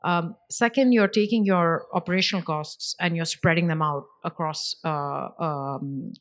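A woman's voice: on the low side, steady tone, a little slow. A woman speaking as a business panelist, explaining things in a structured, point-by-point way. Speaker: panelist, app company executive.

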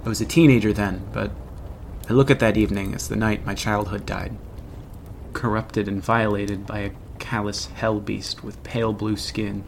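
A man's voice: on the low side, fairly even intonation, creaky, slight background noise. An actor playing a haunted, regretful man who solemnly narrates a horror audio drama about his teenage past. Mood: grave, haunted. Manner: deliberate, solemn, ominous storytelling.

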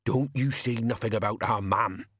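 A man voicing a huge, simple-minded thug in an audiobook, with a deep rumbling voice and slow, stubborn, childlike delivery. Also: a bit bright, breathy edge, no echo, studio quality. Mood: sullen.

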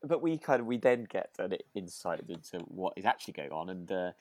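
A man's voice: low voice, sing-song, brisk, a little mellow, no room sound. A man co-hosting a podcast, casually recapping in a hesitant way as he searches for words. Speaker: podcast co-host. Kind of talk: casual chat recapping a radio drama.

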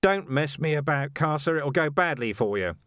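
Gruff weary cop for audiobook; male reader doing a curt, growling police chief, dry booth. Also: fairly high pitch, animated, normal speed, fairly bright tone, no room sound, pitched above the performer's own voice. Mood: stern. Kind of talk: audiobook character voice.

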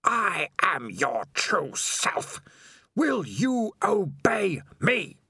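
A man reading an audiobook, voicing a ruthless male rat spirit in a shrill, hissing voice. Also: wildly swooping pitch, drawn-out words, bright, forceful tone, husky, no room sound, clean studio sound, full character voice. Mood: ranting. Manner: shrill, hissing, raging then whispering. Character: menacing ratlike dark spirit, male, ruthless. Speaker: audiobook reader. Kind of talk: audiobook character voice.

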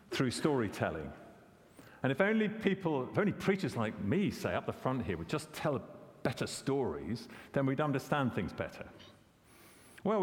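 A man's voice: mid-range voice, in a large hall. A man preaching a sermon, conversational and questioning, often repeating words as he corrects himself. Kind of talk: expository sermon.

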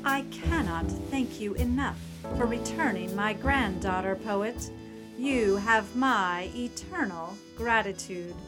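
A woman's voice: medium pitch, slow pace, close to an everyday voice. A woman playing a genteel, wealthy grandmother with a formal, gracious manner in an audio drama. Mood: grateful. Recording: very loud music under the dialogue.

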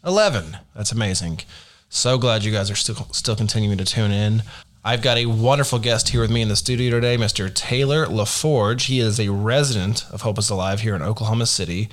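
A man's voice: fairly low pitch, a little fast. A man hosting a studio podcast interview, friendly and affirming, laughing easily as he asks about his guest's story. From born in Dallas. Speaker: podcast host. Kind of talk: casual interview.

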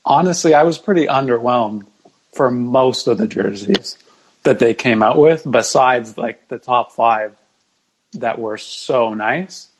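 A man's voice: medium pitch, unhurried, husky, poor audio. A man speaking as a podcast guest in a casual sports discussion.

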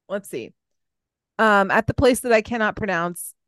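Woman cohosting a podcast, mid pitch, reads notes casually and chats, no room echo. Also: lively intonation, measured pace, a bit bright. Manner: informal, stumbles over foreign names. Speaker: podcast co-host. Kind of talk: reading researched notes with casual banter.

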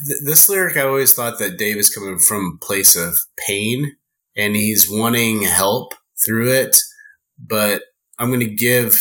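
A man guest on a podcast, talking in a halting way with many fillers and restarts. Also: fairly low pitch, sharp tone, poor audio. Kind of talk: casual chat.

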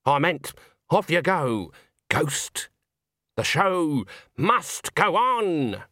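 A man's voice: medium pitch, animated, moderate pace, a bit bright, no echo, clean studio sound, close to an everyday voice. A man voicing a shrewd old woman in an audiobook, firm and no-nonsense in the way she talks. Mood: brisk. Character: brisk, commanding, shrewd old woman, a granny.

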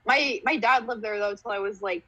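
A woman's voice: medium pitch, fairly quick, sharp tone, slightly rough. A young woman comedian, born in Romania and raised in Canada and the US, chatting casually as a podcast guest, giggly and rambling with lots of "like".

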